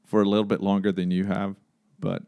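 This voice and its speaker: A man's voice: sits low, monotone, brisk, creaky, mellow, no room sound, clean studio sound. A man from Sweden with a Swedish accent, a native Swedish speaker, asking questions casually as an interview host.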